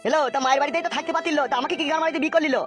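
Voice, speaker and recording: Very high-pitched, hot-headed young village husband, male actor. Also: fairly even intonation, very fast, music in the background. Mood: angry, bossy. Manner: indignant, cursing, argumentative. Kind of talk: comic cartoon dialogue.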